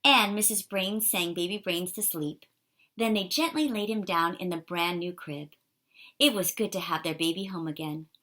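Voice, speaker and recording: A woman reading a children's picture book aloud in an expressive, animated way for young listeners. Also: lowish voice, normal speed. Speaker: storybook reader.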